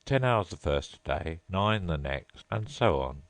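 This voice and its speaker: A man's voice: low voice, lively intonation, measured pace, no echo. A man doing a mournful sighing sea creature. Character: melancholy, sighing, sobbing male sea creature.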